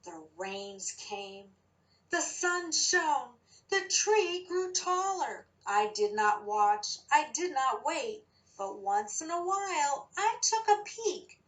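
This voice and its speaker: Slow-paced woman reading to children. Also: high-pitched, sing-song, a bit bright, rough recording. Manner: slow, deliberate, addressing children with questions. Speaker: children's story reader and teacher. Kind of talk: picture book read-aloud and lesson for young children.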